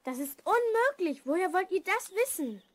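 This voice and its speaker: A very high, girlish voice of a young princess in a children's radio play. She speaks formally and earnestly, with sadness and pride. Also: very animated, normal speed, no echo. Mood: anxious. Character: lonely young princess Elizabeth, proud and sad. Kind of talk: German children's audio drama acting.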